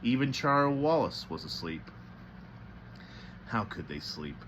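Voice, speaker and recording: A man's voice: medium pitch, very animated, a little slow, a bit bright, slight background noise. A man hosting a storybook read-aloud, reading with dramatic emphasis and adding casual commentary. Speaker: host reading books aloud.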